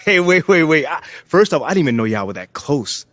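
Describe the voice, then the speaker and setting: Confident young American basketball rookie. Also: male voice, fairly high pitch, sing-song, brisk, a bit bright, no echo. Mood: excited, grateful. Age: young adult. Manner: casual, slangy, loose. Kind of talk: naturalistic video game cutscene acting.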